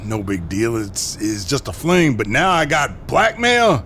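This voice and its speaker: An actor playing a flustered, gullible cheating husband type in an audio drama, excitable and colloquial. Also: male voice, mid-range voice, unhurried, slight background noise. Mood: dismayed, rattled.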